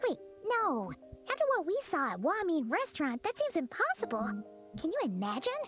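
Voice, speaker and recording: A woman voicing a tiny, excitable, cheeky childlike fairy companion in a video game, squeaky and chattering. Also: moderate pace, soft background music, heavily acted. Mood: curious, chatty. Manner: refers to self in third person, chattering. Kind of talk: video game character acting.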